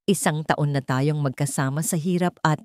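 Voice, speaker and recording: A woman's voice: low voice, fairly even intonation, moderate pace, darkish tone, dry recording, studio quality, close to an everyday voice. A woman voicing an earnest, devoted young father speaking in a heartfelt, pleading way in an audio love story. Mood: earnest, tender.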